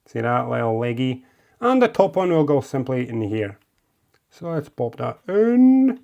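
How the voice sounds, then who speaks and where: A man's voice: mid-range voice, big pitch swings, measured pace, gravelly, warm tone, lo-fi sound. A man from Latvia with a Latvian accent, chatty and encouraging as he talks through a model build step by step. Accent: Latvian, living in Scotland. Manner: chatty and encouraging, coaxing phrases. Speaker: model-building video host. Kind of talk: casual hands-on build tutorial.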